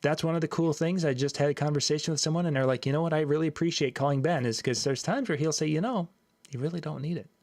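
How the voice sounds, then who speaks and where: A man hosting a live chat show, asking questions in a friendly, relaxed way. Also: fairly high pitch, fast talker, dry recording. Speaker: host.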